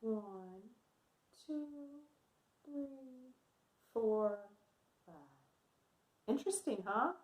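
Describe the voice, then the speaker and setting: A woman's voice: fairly high pitch, lively intonation, very slow, long pauses. A woman teacher giving a read-aloud lesson to young pupils, speaking simply and encouragingly with frequent questions. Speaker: teacher reading aloud to children. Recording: roomy, echoey indoor sound.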